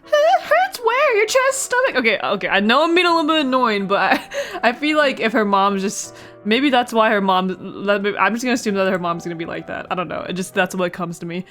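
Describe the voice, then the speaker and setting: A woman's voice: medium pitch, lively intonation, fast, a bit bright. A woman hosting a casual let's play, reading a visual novel aloud with playful asides and stumbles over words. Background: music.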